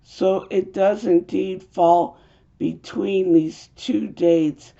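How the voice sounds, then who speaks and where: A woman with an American accent, hoarse from a cold, earnestly explaining an urgent religious message in a halting way with fillers. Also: medium pitch, steady tone, warm tone. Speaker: video host. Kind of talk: urgent religious video monologue. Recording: dull lo-fi home recording.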